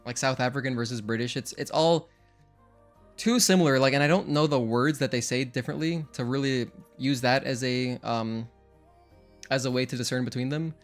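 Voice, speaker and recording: A man's voice: medium pitch, normal speed. A young man streaming a game speedrun, chatting casually with viewers, rambling and often correcting himself mid-sentence. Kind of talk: casual live-stream commentary. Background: faint game music.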